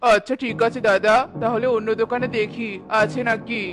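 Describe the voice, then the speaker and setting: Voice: mid-range voice, flat delivery, normal speed, natural voice. Performer doing Tona, an earnest cartoon husband bird. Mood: polite, eager. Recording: loud music behind the voice.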